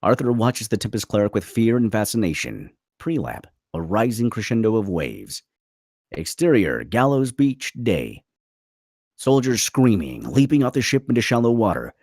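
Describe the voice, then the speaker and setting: A man's voice: fairly low pitch, a little mellow, no room sound, clean studio sound. A man reading screenplay stage directions as the narrator of a table read.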